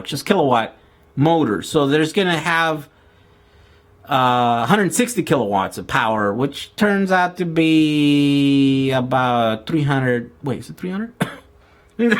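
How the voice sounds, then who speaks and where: Brazilian-born man, slightly high voice, rambling vlogger answering live questions, lots of restarts. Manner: rambling, many restarts and fillers. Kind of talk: casual live Q&A chat. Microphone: outdoor camera with mic.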